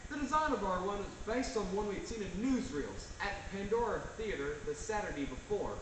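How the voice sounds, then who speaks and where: A young man narrates a story on stage in a high, animated voice. It is a reader's theater reading, recorded from a distance in a very echoey, noisy room. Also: brisk. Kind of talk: scripted dramatic story narration. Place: large echoey room, school performance.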